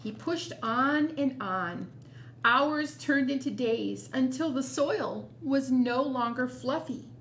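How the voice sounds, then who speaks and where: A woman reads a children's picture book as a storytime host. Her voice is fairly high and very animated, moving at an unhurried pace with quiet asides. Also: slight background noise.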